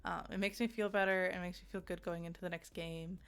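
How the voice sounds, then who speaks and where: A woman's voice: fairly low pitch, fairly even intonation, fast talker, fairly bright tone, clean tone. A woman giving a casual, chatty game review, rambling and interrupting herself, with a giggly manner. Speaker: video game reviewer and streamer. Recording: music under the voice. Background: background music.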